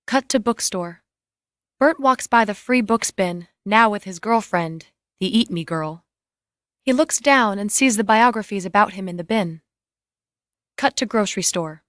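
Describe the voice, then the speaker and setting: A woman's voice: medium pitch, expressive, measured pace, a bit bright, dry recording, studio quality. A woman narrating scripted screenplay scene directions in a matter-of-fact way.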